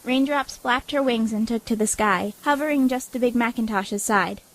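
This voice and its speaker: A woman's voice: a little high, moderate pace. A woman reading scripted story narration as the narrator of an audio drama.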